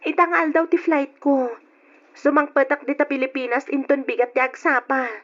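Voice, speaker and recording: A woman's voice: high voice, little pitch movement, normal speed, a bit bright, dull audio. An actress playing an anxious, demanding girlfriend on a call in an old Ilocano radio drama, questioning and scolding. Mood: demanding, worried.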